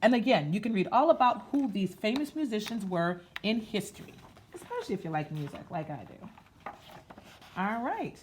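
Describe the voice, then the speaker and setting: A woman hosting a children's storytime, reading aloud in an expressive, sing-song way and speaking to her listeners as friends. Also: medium pitch, moderate pace, slight background noise. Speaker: storytime host reading a picture book aloud. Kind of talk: children's read-aloud with rhythmic sound effects and chatty asides.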